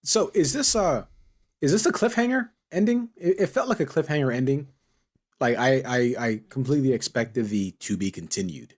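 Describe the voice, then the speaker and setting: A man co-hosting a podcast, chatting casually in an animated, questioning way. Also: mid-range voice, normal speed. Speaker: podcast co-host. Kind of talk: casual chat about a TV series.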